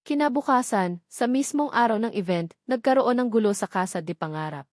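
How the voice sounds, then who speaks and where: A woman's voice: a little high, animated, fairly quick, no room sound, studio quality. A woman reading scripted, dramatic narration of a romance story as a storyteller.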